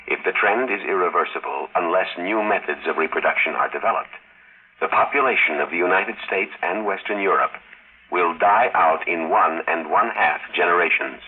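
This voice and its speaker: An actor playing a cold, precise electronic brain in an old radio drama, speaking in measured, formal, mechanical phrases. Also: male voice, low voice, natural voice. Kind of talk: 1950s radio drama acting. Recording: tinny, band-limited vintage radio recording.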